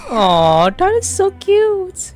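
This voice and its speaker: Female gamer commentator: casual, excitable, very animated pitch swings, mid register, game music behind. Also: extremely slow. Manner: excitable, swears freely, jumps into squeals. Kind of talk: casual gameplay reaction commentary.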